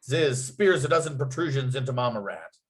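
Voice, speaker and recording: A man's voice: medium pitch, animated, a little fast. A man narrating at a script read, reading out stage directions and scene headings.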